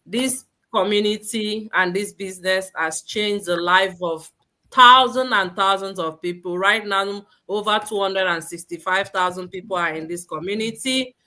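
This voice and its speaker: A woman's voice: medium pitch, moderate pace, bright, forceful tone. A woman from Nigeria hosting a live online webinar, upbeat and exhortative, asking many questions of the audience. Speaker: host. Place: lives in Sweden.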